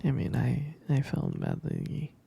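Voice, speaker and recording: A man playing a tender, devoted husband in an intimate ASMR roleplay, speaking slowly and hesitantly in a soft, breathy, creaky whisper. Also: mid-range voice, huge pitch swings, full character voice. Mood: adoring, tender. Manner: slow, soft, hesitant, doting murmur. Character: tender, devoted husband comforting his pregnant partner.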